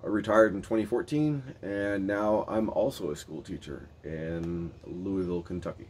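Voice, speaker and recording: A man in his fifties, born in Germany, speaking in a relaxed, talkative way with frequent restarts, his nose a little stuffy. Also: sits low, moderate pace, slightly rough. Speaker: co-owner of a marriage agency. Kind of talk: casual video introduction. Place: covered porch outdoors.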